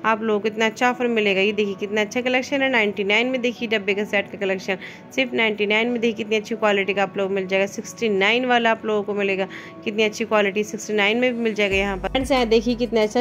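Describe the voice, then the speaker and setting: A woman shopping vlogger walking through store aisles with her phone, pointing out products and prices in brisk, upbeat, salesy patter. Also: on the high side. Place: indoor retail store aisles.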